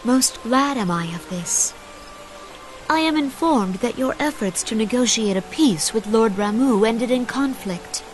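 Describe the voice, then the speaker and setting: A woman's voice: fairly high pitch, expressive, measured pace. A woman voicing a gracious, earnest leader of a forest nation in a fantasy video game, speaking with solemn, archaic formality. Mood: gentle concern. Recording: loud music under voice.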